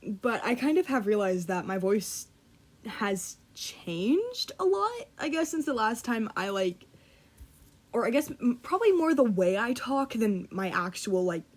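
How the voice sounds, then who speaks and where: A young woman vlogging in a casual, self-deprecating ramble, laughing often and swearing offhand while trying to make her voice deeper. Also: sing-song. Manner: deliberately lowering her voice. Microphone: computer mic.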